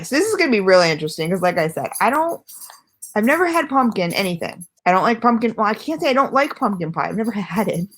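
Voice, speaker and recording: A woman's voice: mid-range voice, a bit bright. Chatty woman vlogger rambling at home. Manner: rambling, self-deprecating, frequent fillers. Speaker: vlogger reviewing e-liquids. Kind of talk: casual chatty product review. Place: at home, not her usual setup.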